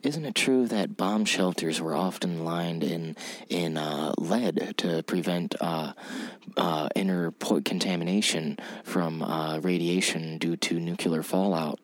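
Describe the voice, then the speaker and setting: A man playing a bumbling, pompous radio interviewer who speaks in a halting, repetitive, earnest way. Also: low voice, no room sound, rough recording. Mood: earnest, befuddled. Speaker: radio show host. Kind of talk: mock old-time radio interview show.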